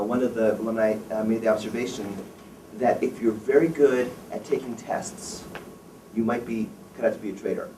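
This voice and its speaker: A man's voice: medium pitch, a little mellow, distant mic. Man lecturing students, blunt, opinionated, heard from afar in a roomy hall, faint noise. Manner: blunt, opinionated, asks the audience questions. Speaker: wealth management firm president giving a career talk. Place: lecture room. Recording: echoey room, recorded from afar, faint noise.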